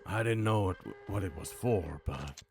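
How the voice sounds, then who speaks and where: A man doing video game commentary and voice practice in a dry, deadpan way, muttering at times. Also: low voice, a little slow, over music. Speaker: gamer practicing voice acting. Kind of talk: gameplay commentary mixed with character-voice practice. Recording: clearly audible background noise, clicks.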